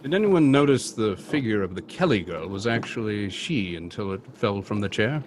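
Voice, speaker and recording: Man as gentleman amateur detective, radio mystery, deliberate thoughtful delivery, lower pitch, noticeable background noise. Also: animated, close to an everyday voice. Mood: brooding deduction. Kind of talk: old-time radio drama acting. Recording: live stream with clear background noise.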